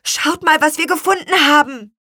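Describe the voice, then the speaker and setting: A woman's voice: high-pitched, lively intonation, normal speed, pushed and bright, dry recording, studio quality, pitched above the performer's own voice. A woman voicing eager, matter-of-fact young children in a children's storybook, in a direct manner. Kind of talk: children's storybook character reading.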